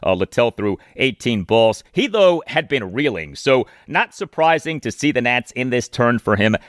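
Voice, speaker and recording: A man hosting a sports podcast, giving detailed commentary and stats with emphatic, deliberate delivery. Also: medium pitch, sing-song, dry recording, clean studio sound.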